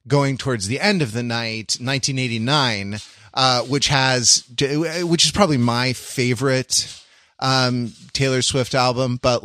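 A man's voice: mid-range voice, a bit bright, dry recording, clean studio sound. A man co-hosting a casual podcast, explaining things in a rambling, analytical way. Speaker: podcast co-host.

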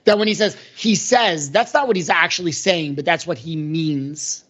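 A man's voice: fairly high pitch, normal speed, a bit bright. Dull-sounding male podcast panelist, explanatory. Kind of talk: conversational analysis. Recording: dull, lo-fi audio.

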